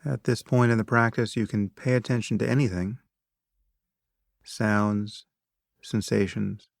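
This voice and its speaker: Low, gravelly man guiding meditation. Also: fairly even intonation, dry recording, clean studio sound. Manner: measured, instructive, with long pauses between short phrases. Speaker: meditation guide. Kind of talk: guided meditation narration.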